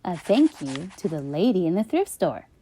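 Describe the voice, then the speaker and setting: A woman reading a children's bedtime story in an expressive, sing-song storytelling manner. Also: on the low side, moderate pace, a little hiss or hum.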